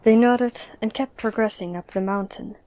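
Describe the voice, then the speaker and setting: A woman playing a measured storyteller, narrating a scripted audio drama. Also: on the high side, mellow, faint music behind, natural voice.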